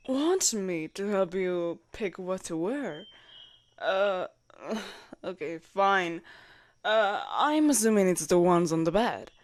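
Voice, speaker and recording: Young female knight commander, played by a woman, audio roleplay. Formal, loyal, easily flustered; unhurried delivery, lively intonation. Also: a little high, dry recording. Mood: flustered, embarrassed. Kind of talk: roleplay audio drama acting.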